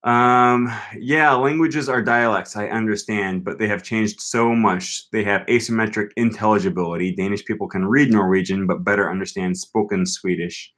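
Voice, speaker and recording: A young man from the United States with a lowish voice chats loosely through a live stream at home. He reads out viewer comments and rambles his answers, full of ums, uhs and restarts. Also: fairly even intonation, normal speed, few pauses, a bit bright. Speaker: language-learning channel host. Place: home room.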